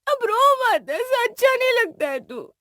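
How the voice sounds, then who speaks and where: Woman acting a high-voiced young bride. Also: normal speed, dry recording, studio quality. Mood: tender, upset. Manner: animated, emotional. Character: young bride-to-be, teasing, affectionate daughter and sister.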